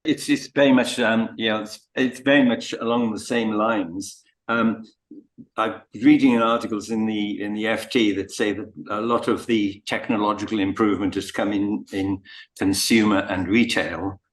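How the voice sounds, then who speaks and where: A man's voice: on the low side, fairly even intonation, normal speed. A middle-aged man hesitantly and carefully putting a question in an online meeting. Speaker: audience member asking a question. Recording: roomy, echoing meeting audio.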